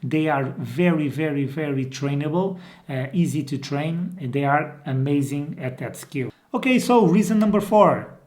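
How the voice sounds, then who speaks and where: A man's voice: a little high, moderate pace, darkish tone. A man in his forties from Portugal with a Portuguese accent, presenting an informal explainer as a breeder, repeating words for emphasis. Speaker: dog breeder and channel host. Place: indoor room.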